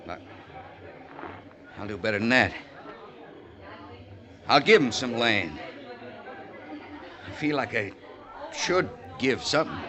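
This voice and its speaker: A man playing a bitter, gruff frontier father in a 1950s radio western, speaking bluntly and with feeling. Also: medium pitch, lively intonation, slow pace, long pauses, crowd noise. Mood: grudging, softening.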